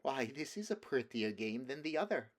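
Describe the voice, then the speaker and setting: A man voicing a soft-spoken, coaxing witch queen in a storybook reading, in a sweet, quiet, half-mocking way. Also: mid-range voice, sing-song, moderate pace, pitched above the performer's own voice. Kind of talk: audiobook character voice.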